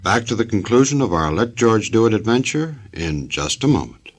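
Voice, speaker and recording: A man's voice: fairly low pitch, sing-song, moderate pace, soft background music. A man announcing a 1940s radio drama in a scripted presenter's style.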